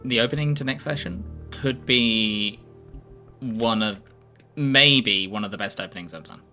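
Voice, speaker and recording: A man's voice: medium pitch, a little slow, sharp tone, soft background music. A man streaming a game and chatting playfully, thinking aloud and poking fun at himself. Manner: playful, self-deprecating, thinks aloud while playing. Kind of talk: casual gaming chat.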